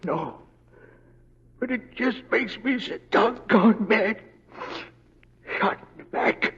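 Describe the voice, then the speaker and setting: A grizzled old frontier deputy, speaks in a high voice with a folksy drawl. It is western radio drama on a dull, aged recording. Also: male voice, slow, a bit bright, slightly rough, heavily acted. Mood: weak, dying. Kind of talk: 1940s radio acting.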